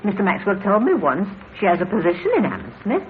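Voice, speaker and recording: A woman's voice: medium pitch, huge pitch swings, fast. An actress playing an eager-to-help landlady who talks in a chatty, deferential way, in a tinny old radio drama recording. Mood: fussy. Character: fussy, eager-to-help older female landlady.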